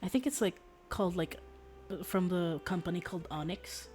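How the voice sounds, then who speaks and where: A woman's voice: fairly low pitch, normal speed, music in the background. A woman streamer chatting casually in a rambling, hesitant, wry way during gameplay. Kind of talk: casual livestream chat over gameplay. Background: video game dialogue.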